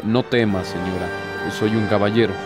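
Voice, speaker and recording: An actor playing an ancient, courtly Devil in a radio drama, a sly and despairing tempter who speaks formally and insinuatingly. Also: male voice, on the low side, steady tone, moderate pace, lightly acted. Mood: courtly, boastful. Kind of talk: theatrical radio drama acting. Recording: music behind the voice.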